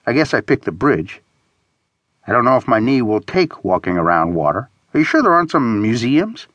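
A man's voice: medium pitch, very animated, normal speed, fairly bright tone, no room sound, highs cut off. A man voicing a grumpy, reluctant, sardonic traveler in audiobook dialogue. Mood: dry, sardonic. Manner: grumbling, sardonic.

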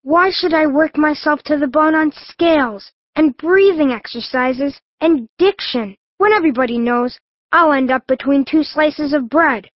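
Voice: high voice, unhurried, no echo, lo-fi sound. A performer playing a gloomy young male pig in a children's audiobook, theatrical and mournfully complaining. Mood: dreary, despondent. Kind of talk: full-cast children's audiobook acting.

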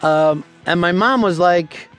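A man hosting a radio talk show, taking callers and telling absurd tall tales with a deadpan, profane delivery. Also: high-pitched, takes it slowly, clean tone, soft background music.

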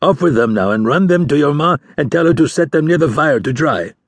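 A man voicing a gruff, bossy older fisherman with a put-on who barks orders. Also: on the high side, lively intonation, fairly quick, no room sound, pitched above the performer's own voice. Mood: commanding. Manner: barking orders, scolding.

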